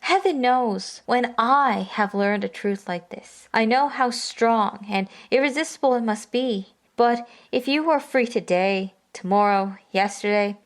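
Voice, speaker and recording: An actress playing a sorrowful yet resolute young woman in a classic radio drama, speaking gravely and deliberately. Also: on the high side, lightly acted. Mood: pained, resolute.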